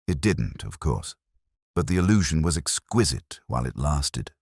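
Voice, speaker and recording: A man playing a melancholy middle-aged English police detective, narrating in a measured, literary and wistful way as if from a notebook. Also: very deep voice, sing-song, a little mellow, slightly rough, dry recording, clean studio sound, full character voice. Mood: reflective. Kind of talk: scripted first-person dramatic narration.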